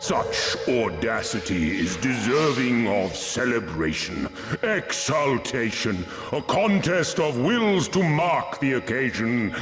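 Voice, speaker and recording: An actor playing a grandiose, capricious storm god in a game, declaiming pompously in archaic language. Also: male voice, mid-range voice, very animated, takes it slowly, fairly bright tone. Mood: pompous, amused. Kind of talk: archaic theatrical game cutscene acting. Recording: echoing voice with music behind.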